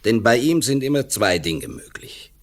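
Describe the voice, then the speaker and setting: An actor playing a brooding writer in a radio play, philosophising emphatically with a sly, malicious edge. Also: male voice, medium pitch, animated, normal speed, lightly acted. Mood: intense, philosophical. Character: brooding male writer, intellectual, slyly malicious.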